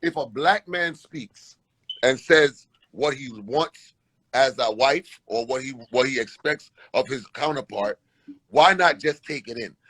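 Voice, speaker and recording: A man's voice: medium pitch, expressive, unhurried, fairly bright tone, husky, low-quality recording. A man hosting a panel discussion, rambling through restarts and rhetorical questions.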